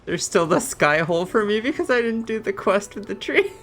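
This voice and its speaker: A woman's voice: mid-range voice, expressive, brisk, fairly bright tone. A woman with a playful manner, casually chatting and reading aloud as she plays a video game. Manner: giggly, playful. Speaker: game streamer.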